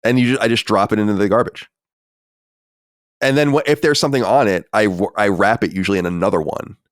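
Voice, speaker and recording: Low-voiced man in his forties, blunt. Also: fast talker, no echo, clean studio sound. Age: 40s. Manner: sighing, blunt and profane, reads letters aloud. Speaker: podcast co-host reading listener mail. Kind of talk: casual chat.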